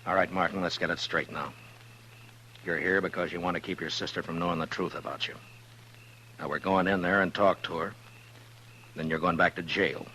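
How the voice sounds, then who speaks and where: Very deep, hard-edged Old West lawman. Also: fairly even intonation, pushed and bright. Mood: stern, firm. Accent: American. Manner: terse, measured, authoritative. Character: stern, steady, world-weary lawman of an Old West town. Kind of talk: 1950s radio western acting. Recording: noisy vintage radio recording with hum.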